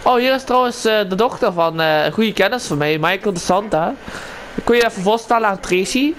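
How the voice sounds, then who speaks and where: A man's voice: sits high, expressive, brisk, a bit bright. A man chatting casually in improvised video game roleplay, acting as a guide to a newcomer. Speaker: roleplay player guiding a newcomer. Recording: clear game noise behind the voice.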